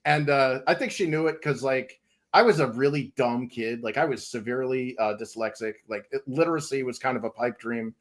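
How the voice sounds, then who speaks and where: A man's voice: mid-range voice, a little fast. A man from New England telling rambling stories in a casual panel chat, laughing often as a comic creator guest.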